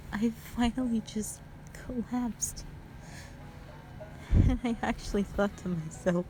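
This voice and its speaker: A woman playing a traumatised, anguished young woman in an audio drama monologue, speaking haltingly and often hushed. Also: on the high side, poor audio. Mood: grieving, numb. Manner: halting, hushed, breaking into whispers. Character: traumatised young woman confessing a harrowing past, anguished, broken. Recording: music behind.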